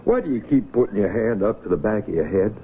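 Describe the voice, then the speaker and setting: An actor playing a calm, inquisitive country physician in a radio drama, with a husky American voice and a measured, gently questioning manner. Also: male voice, on the low side, a little hiss or hum, natural voice. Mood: puzzled. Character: calm, inquisitive, patient country physician of the Civil War era. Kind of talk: 1970s radio drama acting. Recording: narrow, tinny vintage radio broadcast.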